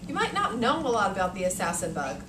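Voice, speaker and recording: An American woman in her thirties, an elementary teacher reading aloud to young children in a deliberate, expressive way, thinking aloud in simple words. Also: medium pitch. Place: elementary classroom, indoors. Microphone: far camera. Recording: noisy echoey classroom, distant camera mic.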